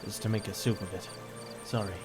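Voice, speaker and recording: A man's voice: on the low side, flat delivery, a little fast, over music, lightly acted. A man playing a grumbling, practical forest ranger in a fantasy audio drama, understated in manner. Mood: apologetic.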